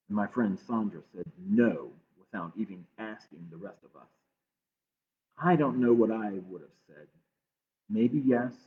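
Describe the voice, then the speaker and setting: A man reading a picture book aloud to children with measured, expressive pauses. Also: fairly low pitch. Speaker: library storytime reader. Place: livestream from a closed-library online program. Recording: muffled phone-like streaming audio.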